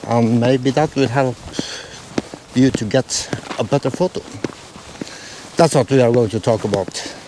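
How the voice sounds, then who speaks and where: A man from Norway talks in English with a clear Norwegian accent, casually explaining how he plans his photos. He hesitates often, filling gaps and restarting phrases, at an average pitch for a man. A faint hiss of noise sits under the recording. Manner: hesitant, many fillers and restarts. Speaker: photography vlogger.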